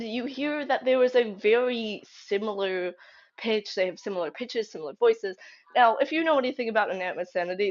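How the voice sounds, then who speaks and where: A young woman giving casual fan commentary, conversational and emphatic, thinking aloud as she goes. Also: mid-range voice. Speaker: fan theory video creator. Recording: slightly dull lo-fi home recording.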